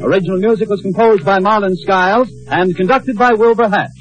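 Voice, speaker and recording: A man's voice: sits high, brisk, dull audio, faint music behind. A man announcing on a 1950s radio show with polished, formal delivery. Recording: old-time radio broadcast recording.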